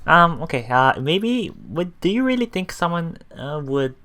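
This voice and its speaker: A man doing casual let's play commentary, haltingly reading and paraphrasing story text aloud while thinking out loud. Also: a little high, sing-song. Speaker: let's player.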